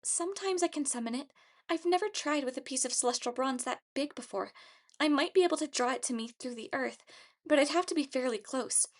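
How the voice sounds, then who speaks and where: A woman's voice: high-pitched, a little fast, voice pushed up, natural voice. A woman voicing an earnest, worried young girl heroine, hesitant in manner, in an audiobook. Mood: careful. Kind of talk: audiobook character acting.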